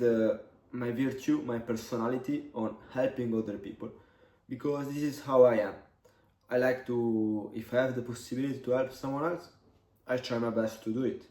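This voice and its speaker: A man in his twenties from Italy, a native Italian speaker, telling a personal story in English for his vlog, halting with many pauses. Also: mid-range voice, dark tone. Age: 20s. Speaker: vlogger. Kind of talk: casual storytelling to camera. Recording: echoey room, mic at a distance.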